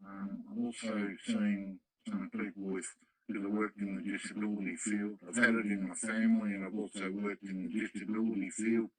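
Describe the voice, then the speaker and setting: An Australian man chatting casually on the radio, telling personal stories in a gentle, hesitant, reflective way. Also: on the low side, little pitch movement, moderate pace, husky, low-quality recording. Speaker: radio club participant. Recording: dry radio-app voice transmission.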